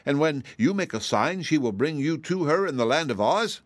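Man voicing an inquisitive, astonished boy, pitched up. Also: expressive, moderate pace, no echo, close to an everyday voice. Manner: questioning, startled.

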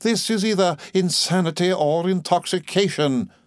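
A man's voice: high voice, normal speed, no room sound, clean studio sound, pitched above the performer's own voice, lightly acted. A man voicing a haughty, prim spinster sister who speaks with sarcasm. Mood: sneering.

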